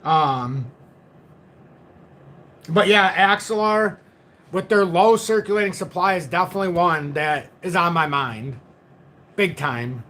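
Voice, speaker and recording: A man's voice: high-pitched, animated, unhurried, pauses often, a bit bright. Man in his forties talking crypto charts. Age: 40s. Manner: talks to chat, reads viewer comments, repeats himself. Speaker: crypto livestream host. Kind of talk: casual chart analysis livestream.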